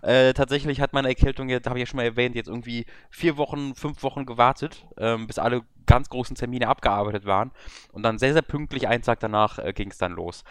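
Man with a cold, smooth voice. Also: mid-range voice, fast talker, fairly bright tone, no room sound, studio quality. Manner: rambling, self-deprecating, many filler sounds. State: has a cold. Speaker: podcast co-host. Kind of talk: casual podcast chat. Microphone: remote over voice chat.

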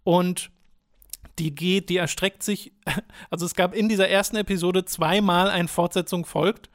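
A man's voice: high-pitched, steady tone, a little slow. A young man chatting casually as a podcast co-host, explaining at length with frequent hesitation fillers. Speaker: podcast co-host reviewing games. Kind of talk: casual chat.

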